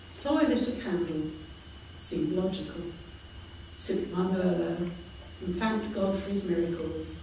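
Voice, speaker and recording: A person reading a reflective poem at a live poetry evening, slow and quiet. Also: medium pitch, slight background noise. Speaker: poet reading at an evening event. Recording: echoey hall, voice from far off.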